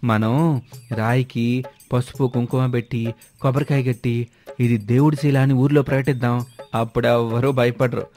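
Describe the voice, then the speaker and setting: Man acting a self-important silly villager, average pitch, confident rustic talk, storybook tale, background music. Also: fairly even intonation, moderate pace, long pauses, darkish tone, natural voice. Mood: confident, scheming. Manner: confident, boastful, colloquial rustic speech. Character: boastful, foolish village man full of silly schemes.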